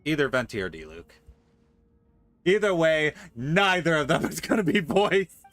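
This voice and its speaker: Bright-voiced man streaming a game. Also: on the high side, big pitch swings, normal speed. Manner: informal, joking, exclamatory. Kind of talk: casual gameplay commentary. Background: faint game music.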